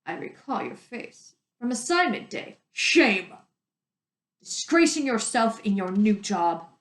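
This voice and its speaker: A woman's voice: mid-range voice, big pitch swings, slow pace, echoey room. A woman voicing an authoritative male mayor in a storybook, curt and scolding. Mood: indignant.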